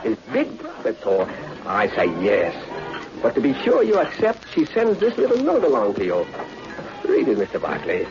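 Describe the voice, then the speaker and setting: An actor playing a chubby, genial restaurant owner in an old radio drama, speaking effusive broken English. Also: male voice, mid-range voice, huge pitch swings, normal speed, over music. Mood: jovial, coaxing. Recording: dull lo-fi vintage radio recording.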